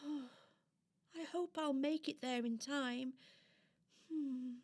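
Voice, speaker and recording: A woman's voice: sits high, steady tone, slow pace, pitched above the performer's own voice. A woman voicing a small, brave toy doll girl, eager, kind and earnest, in a children's radio play. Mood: determined. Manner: earnest, exclaiming, hesitant.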